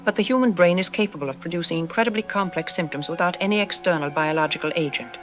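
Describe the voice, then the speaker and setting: A woman's voice: lowish voice, fast, lightly acted. Woman acting as a lady psych expert on a radio drama, sure of herself, lecturing, bit dismissive, music underneath. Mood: clinical, dismissive. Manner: didactic, confident, articulate. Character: self-assured female psychologist, calmly dismissive expert guest. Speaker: expert interview guest. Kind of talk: radio drama interview, measured explanatory delivery. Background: background music.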